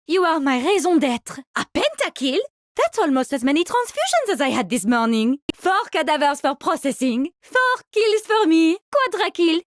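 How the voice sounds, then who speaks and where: An eccentric French woman scientist for a video game. Her voice is high and swings widely in pitch, with a French accent. She is playful, theatrical and cheerfully morbid, recorded very dry and close. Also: a bit bright, no room sound, heavily acted. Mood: gleeful. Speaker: video game character voice lines. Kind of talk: video game hero barks.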